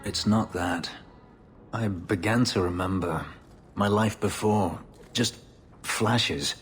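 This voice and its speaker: A man playing a guarded, brooding elf and former slave in a video game, with a solemn, halting delivery. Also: slightly rough, exaggerated voice. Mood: anguished, regretful. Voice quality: deep, low voice. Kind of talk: dramatic video game dialogue. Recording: reverberant hall sound with music underneath.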